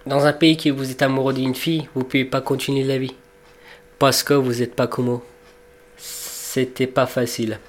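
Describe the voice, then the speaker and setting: A man in his twenties from Afghanistan, a cook, telling his life story in a reflective, unhurried way, pausing and restarting as he searches for words. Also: medium pitch, flat delivery, a little hiss or hum. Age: 20s. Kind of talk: personal storytelling for a documentary portrait.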